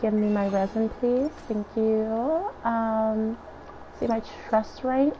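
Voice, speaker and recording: A hoarse woman with a raspy voice, chatting and thinking aloud in casual gameplay commentary as she streams. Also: fairly high pitch, fairly even intonation, slow, warm tone. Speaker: game streamer. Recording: dull lo-fi stream audio with faint noise.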